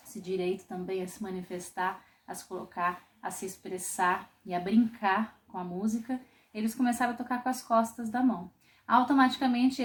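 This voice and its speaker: A woman from Brazil teaches a popular singing class in a voice of ordinary pitch for a woman. She explains thoughtfully, stopping often to rephrase herself. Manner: thoughtful, explanatory, with frequent pauses and restarts. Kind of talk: online music lesson.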